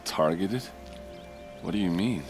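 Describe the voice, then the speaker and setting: Gruff, low-voiced grieving guard captain. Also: male voice, a little slow, over music. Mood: troubled, disbelieving. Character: grief-stricken hard-drinking guard captain, gruff, boisterous. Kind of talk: video game cutscene acting.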